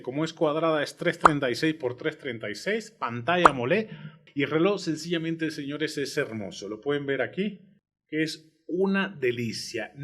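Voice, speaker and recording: Cuban man reviewing gadgets, slightly high voice. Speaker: tech reviewer. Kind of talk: product review. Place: home recording studio.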